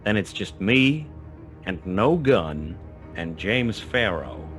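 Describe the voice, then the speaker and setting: An actor playing a wry, laconic private detective who narrates a noir radio play. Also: male voice, fairly low pitch, big pitch swings, slow pace, music in the background. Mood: wry, tense narration. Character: hard-boiled male private detective narrating his own story.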